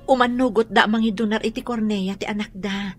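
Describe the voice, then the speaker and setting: A woman's voice: mid-range voice, fairly even intonation, brisk, soft background music, lightly acted. An actress playing an anxious, devoted mother in a radio drama. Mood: hopeful, moved. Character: anxious, devoted mother seeking help for her child.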